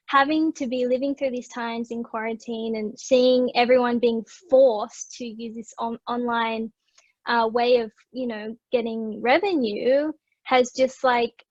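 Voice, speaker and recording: A woman's voice: a little high, fairly even intonation, a little slow, no room sound. A woman with an Australian accent, a digital marketer talking loosely in a casual conversation with plenty of fillers. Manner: chatty, loose, many fillers. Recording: dry, dull lo-fi sound.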